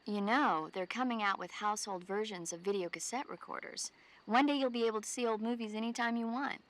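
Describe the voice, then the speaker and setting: A woman's voice: on the high side, brisk, fairly bright tone, lightly acted, rough recording. An actress playing a chatty, earnest female support officer and film buff in a video game, explaining things conversationally. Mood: enthusiastic.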